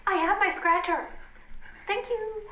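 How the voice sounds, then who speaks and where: Very high comic spouse. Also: female voice, a little slow, sharp tone, full character voice. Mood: relieved. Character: itchy, relieved spouse in comic advert. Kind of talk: comic old-time radio advert acting. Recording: echoey, dull recording, faint noise.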